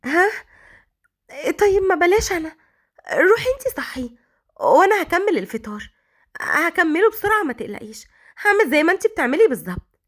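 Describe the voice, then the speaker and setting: A frightened young maid , as a woman narrator puts her on, high and hesitant, her voice lifting and wavering. She stammers nervously through her lines in a storybook reading. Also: animated, moderate pace, pauses often.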